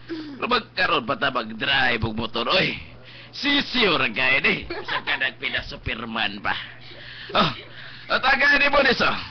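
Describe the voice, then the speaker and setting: A man's voice: mid-range voice, huge pitch swings, unhurried. An actor playing a carefree young man out with friends in a Philippine radio drama, joking and exclaiming with a Cebuano accent. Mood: tipsy banter. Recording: dull lo-fi webcast with noise.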